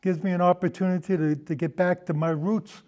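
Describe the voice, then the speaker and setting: Higher-pitched older man, rambling. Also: steady tone, brisk, a little mellow, highs cut off. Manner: rambling, digressive, hesitant. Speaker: guest, amateur historian and old time radio podcaster. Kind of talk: casual chat, reminiscing.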